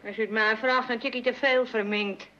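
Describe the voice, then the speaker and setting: A woman's voice: on the high side, fairly even intonation, brisk, pushed and bright. A woman playing a pert, outspoken housemaid who talks familiarly to her mistress in a radio play. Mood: pert, helpful. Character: cheeky, familiar housemaid, outspoken and quick to laugh. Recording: dull, very noisy old radio recording.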